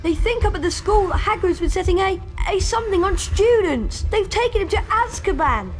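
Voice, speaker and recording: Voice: very high voice, expressive, moderate pace. An actor voicing an earnest young schoolboy wizard, brave and curious, in a video game, with an urgent delivery. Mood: earnest, uneasy.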